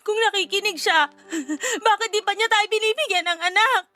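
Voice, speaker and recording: A woman's voice: very high-pitched, normal speed, sharp tone. A woman playing an anxious, crying wife who longs for a child in a radio drama, pleading and emotional. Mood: tearful, resentful. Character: tearful, anxious wife longing desperately for a child.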